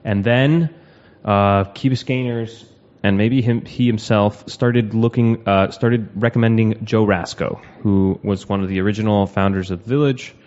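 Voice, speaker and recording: A man with a fairly low voice hosts a relaxed podcast, telling local stories in a rambling, conversational way. The recording sounds muffled and lo-fi. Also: unhurried. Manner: chatty, rambling storyteller with frequent asides. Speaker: podcast host. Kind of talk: casual chat. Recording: dull lo-fi podcast audio.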